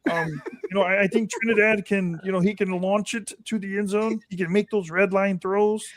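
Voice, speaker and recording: A man putting on the voice of a mumbling, folksy football coach, full of halting fillers. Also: high-pitched, steady tone, voice pushed up, close to an everyday voice.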